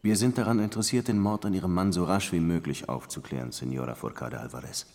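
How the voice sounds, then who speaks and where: An actor playing a dry, world-weary police lieutenant who narrates his case in a measured, wry way for a crime radio drama. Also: deep voice, vocal fry, a little mellow, no echo. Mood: probing.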